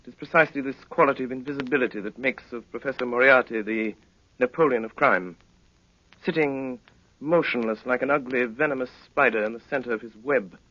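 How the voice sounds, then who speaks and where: A man acting a brilliant, self-assured gentleman detective in a 1930s radio drama, with an urbane, florid, teasing manner. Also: mid-range voice, natural voice. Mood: grave, deliberate. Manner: urbane, florid, teasing, with hesitant drawn-out asides. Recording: dull, noisy old 1930s radio recording.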